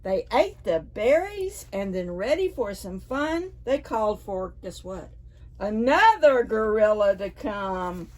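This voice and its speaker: Animated, slow-paced woman storyteller. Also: medium pitch. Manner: playful, deliberate. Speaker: library storytime presenter. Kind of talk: preschool story reading.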